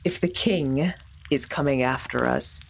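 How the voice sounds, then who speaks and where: Woman rpg player, super deep voice, talks slow, making up what her character does n says. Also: creaky. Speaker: tabletop game player. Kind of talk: improvised roleplay, describing and voicing her character.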